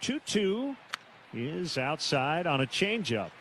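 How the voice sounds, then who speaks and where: A man's voice: fairly high pitch, very animated, slow pace, fairly bright tone. A man doing live baseball play-by-play as a radio announcer from a stadium broadcast booth. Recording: clear noise behind the voice. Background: outdoor ambient stadium noise.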